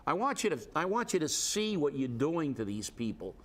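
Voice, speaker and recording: A man's voice: a little high, very animated, normal speed, fairly bright tone. A man with a head cold, stuffed up, hosting a local talk show and ranting with sarcasm and indignation. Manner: indignant, sarcastic, lots of restarts. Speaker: co-host.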